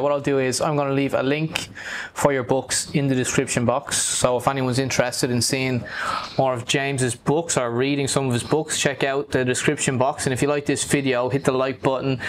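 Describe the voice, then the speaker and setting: Brisk, easygoing male interview host. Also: mid-range voice, slight background noise. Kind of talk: casual interview and channel outro. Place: bar and grill.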